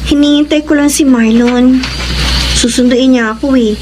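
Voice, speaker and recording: An actress playing a young woman in love, playful and expressive, in a Filipino radio drama. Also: high-pitched, moderate pace, noisy background, lightly acted. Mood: defensive, confiding. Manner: expressive, teasing, swooping exclamations. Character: young woman in love, playful with her lovelorn male friend.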